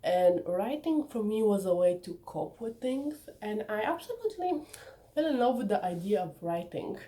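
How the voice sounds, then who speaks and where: Young woman vlogger, Bulgarian native speaker, mellow warm voice, chatty and eager. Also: mid-range voice. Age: young adult. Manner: enthusiastic, chatty, sometimes personal and reflective. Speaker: author presenting her book. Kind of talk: casual vlog talk to viewers.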